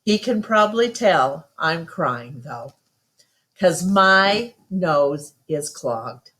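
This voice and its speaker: Slow-paced woman reading a story aloud. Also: on the low side, lively intonation, a bit bright. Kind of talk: read-aloud narration of a first-person story.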